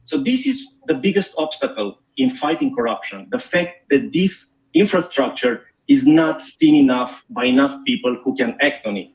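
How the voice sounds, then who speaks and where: A middle-aged man from Romania, an investigative journalist, gives an earnest, measured talk at a forum with frequent filler pauses. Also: mid-range voice, very animated, low-quality recording. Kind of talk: prepared public talk.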